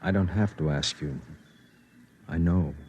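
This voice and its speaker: Very deep, lonely, earnest radio-drama man. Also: darkish tone, highs cut off, a little hiss or hum. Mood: tender, wistful. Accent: American. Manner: measured, earnest, tender. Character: lonely, gentle man narrating and playing himself. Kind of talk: 1950s American radio drama acting. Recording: vintage 1950s radio with background music.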